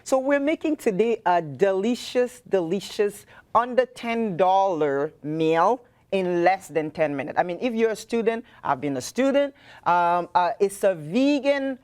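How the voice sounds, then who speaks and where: A middle-aged woman from Cameroon with a Cameroonian accent, a restaurant owner giving warm, halting cooking advice as a guest in a studio interview. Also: medium pitch, expressive. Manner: warm, halting, many fillers.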